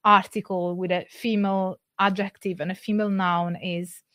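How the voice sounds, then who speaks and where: A woman talks through scholarly sources in a careful, measured lecture. Her voice sits in a middling range, neither high nor low. The sound is dry, with almost no room around it. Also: flat delivery, a bit bright, no room sound. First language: Italian. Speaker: presenter. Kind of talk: academic lecture.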